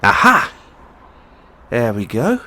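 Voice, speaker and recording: An excitable, eccentric mad scientist boyfriend, acted by a man, talks straight to the listener in an audio roleplay. His husky voice takes its time as he muses, and it leaps and dips dramatically in pitch. The delivery is geeky and theatrical. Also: takes it slowly, heavily acted. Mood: eager. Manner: theatrical, geeky, hesitant musing between outbursts.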